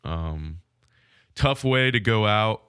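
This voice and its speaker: A man hosting a sports podcast on his own, sounding nasal from a cold, talking through a game in a frank, measured way. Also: fairly low pitch, expressive, fairly bright tone, smooth, no echo, clean studio sound. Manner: frank, measured hockey analysis. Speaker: podcast host. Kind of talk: solo sports podcast recap.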